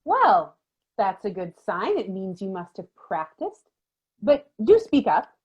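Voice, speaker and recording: A woman playing a stern, condescending elementary school teacher with a husky voice and brisk manner, in a dramatic novel reading. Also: medium pitch, sing-song, heavily acted. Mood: brisk, approving. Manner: brisk, disapproving, patronizing. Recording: dull, lo-fi sound.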